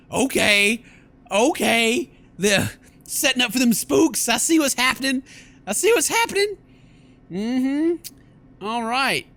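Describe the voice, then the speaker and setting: Animated, high-pitched male gaming host. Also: gravelly, slight background noise. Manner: playful, exclamatory, theatrical. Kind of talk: animated let's play commentary, reading game text aloud. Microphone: close microphone at a desk. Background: faint game music.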